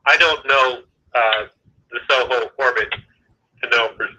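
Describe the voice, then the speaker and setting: A man on a phone call explaining spacecraft details, halting and restarting as he talks. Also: medium pitch, pushed and bright. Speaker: satellite mission staff on a phone call. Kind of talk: phone conversation. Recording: tinny phone line.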